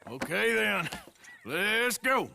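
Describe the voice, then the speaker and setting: An actor playing a gruff, sardonic cowboy outlaw in his thirties in a video game, dry and terse. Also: sits high, big pitch swings, takes it slowly, pushed and bright, heavily acted. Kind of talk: video game cinematic acting. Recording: noisy game scene ambience.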